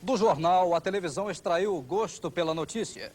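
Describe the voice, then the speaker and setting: A man's voice: high voice, a little fast, a little mellow. A Brazilian man reading scripted documentary narration. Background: background music.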